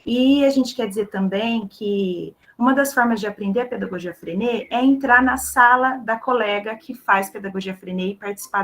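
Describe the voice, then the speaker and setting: A woman's voice: medium pitch, fairly bright tone. A woman presenting her co-written article at an online book launch, hesitant and dropping frequent tag questions. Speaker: co-author of an article.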